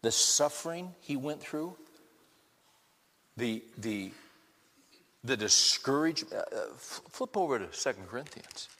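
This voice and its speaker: Bright-voiced man preaching in a big hall. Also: medium pitch, lively intonation, measured pace, long pauses. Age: 50s. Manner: conversational, asks the crowd many rhetorical questions. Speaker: pastor. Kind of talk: sermon. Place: church hall with congregation. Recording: long reverb of a large hall.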